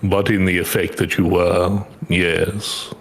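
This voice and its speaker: A man voicing a solemn, formal dream-eating creature in a fantasy game, calm and measured. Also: low voice, creaky, breathy edge, exaggerated voice.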